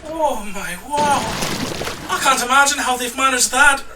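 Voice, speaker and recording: A breezy, easily amazed contestant played by a woman in a fantasy audio drama. The delivery is exclaiming and unhurried, with faint ambient noise. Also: high voice, recorded from a distance.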